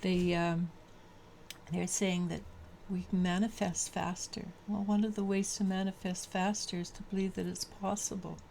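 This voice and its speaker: A woman with a low voice giving a daily tarot reading, halting and full of restarts. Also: flat delivery, creaky, darkish tone, a little hiss or hum. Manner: halting, many restarts and fillers. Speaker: tarot reader.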